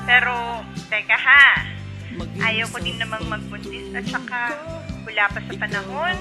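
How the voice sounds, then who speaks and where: A woman's voice: fairly high pitch, fairly even intonation, measured pace, over music, natural voice. A woman playing a busy young girlfriend in a Filipino radio romance drama, hesitant and placating. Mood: reluctant, affectionate. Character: busy young girlfriend gently putting off her boyfriend.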